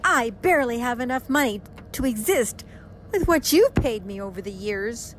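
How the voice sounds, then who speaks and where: A woman's voice: fairly high pitch, animated, moderate pace, a little hiss or hum, natural voice, poor audio. A woman playing a wronged, vengeful stage magician's partner with secret powers in a radio mystery drama, theatrical and aggrieved. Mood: hurt, indignant.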